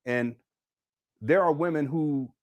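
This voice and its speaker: A man with a slightly high voice hosts a casual podcast. He jokes, laughs often, and talks in loose slang. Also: slow, darkish tone.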